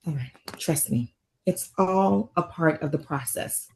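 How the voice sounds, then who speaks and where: A woman acting a caring but weary therapist in a naturalistic drama table read, speaking in a measured, firm, earnest way. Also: low-pitched, vocal fry, darkish tone, breathy edge, full character voice. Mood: calm, firm.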